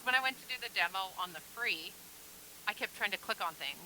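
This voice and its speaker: A woman's voice: medium pitch, expressive, a little fast, pushed and bright, slight background noise, rough recording. A woman guest quilt designer in a casual chat, talking in an easygoing, hesitant way with frequent ums.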